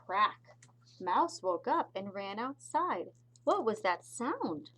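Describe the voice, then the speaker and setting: A woman reads a picture book to small children at a slow pace. Her voice sits at a middle pitch and her delivery is expressive and deliberate. There is some noise in the recording. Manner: slow, deliberate phrasing with explanatory asides. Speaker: pre-K teacher reading aloud.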